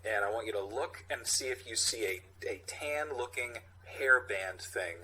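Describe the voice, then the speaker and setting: A man co-hosting a comedy podcast, riffing loosely and haltingly as he reads out material. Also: fairly low pitch, sharp tone, slight background noise, low-quality recording. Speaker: podcast co-host. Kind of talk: loose comedic podcast banter and script reading.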